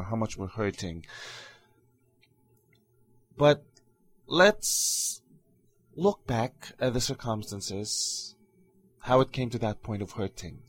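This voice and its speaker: A man hosting his own podcast, talking calmly and earnestly in a reflective solo monologue with soft fillers. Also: fairly low pitch. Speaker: podcast host.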